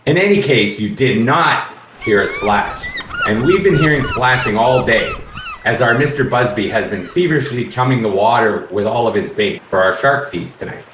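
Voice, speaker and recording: A man playing a shrewd, accusatory police inspector in a radio play, speaking with deliberate drama. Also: medium pitch, wildly swooping pitch. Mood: deliberate, dramatic. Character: shrewd, theatrical police inspector unveiling a murder. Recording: roomy, faint background noise.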